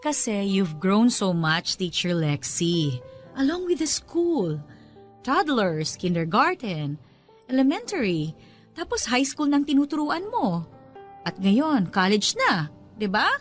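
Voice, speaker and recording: A woman playing a warm, encouraging school owner in a radio drama, speaking in an affectionate, effusive, teacherly way. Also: mid-range voice, very animated, takes it slowly, soft background music. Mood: amused, affectionate. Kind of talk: radio drama dialogue in Taglish.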